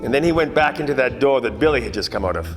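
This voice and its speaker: A man playing a wry, deadpan private eye and ex-cop in a parody of 1940s radio detective drama, narrating and trading wisecracks. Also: medium pitch, very fast, faint music behind, close to an everyday voice.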